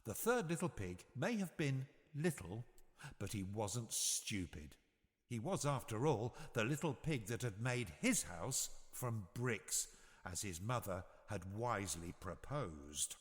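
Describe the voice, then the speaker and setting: A man narrating a scripted bedtime story for children in an expressive, measured storytelling manner. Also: fairly low pitch, long reverb tail.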